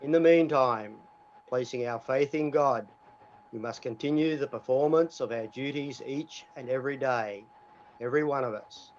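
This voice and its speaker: A man's voice: mid-range voice, natural voice. Guy playing a solemn government official making a grand radio speech, oratorical, muffled lo-fi sound, bit of hiss. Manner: grave, oratorical. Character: solemn, statesmanlike government Secretary of the Interior. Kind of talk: formal radio address, radio play acting. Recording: dull, lo-fi with faint noise.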